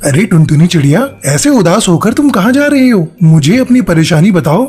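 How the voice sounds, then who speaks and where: A woman's voice: fairly low pitch, sing-song, moderate pace, mellow, husky. A woman voicing a young male pigeon in a cartoon story, a caring and generous friend. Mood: concerned, helpful. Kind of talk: Hindi cartoon moral story acting. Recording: music behind the voice.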